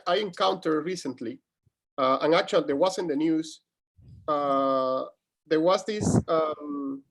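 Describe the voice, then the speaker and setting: Male scholar, Cuban accent, native Spanish speaker, slightly high voice, halting conversational explanations for an interview. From Cuba. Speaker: guest, history academic.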